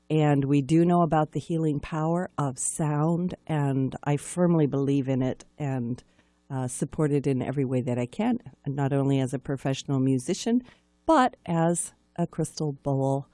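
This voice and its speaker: A woman's voice: sits low, warm tone, no echo. A woman hosting a live radio talk show in a chatty, enthusiastic way.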